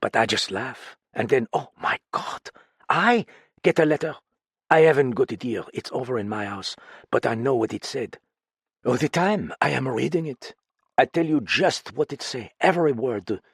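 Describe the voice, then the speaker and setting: Excitable woman in broken English, put on by a male reader, high and pleading, husky edge, dry close sound. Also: moderate pace, no echo. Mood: anxious.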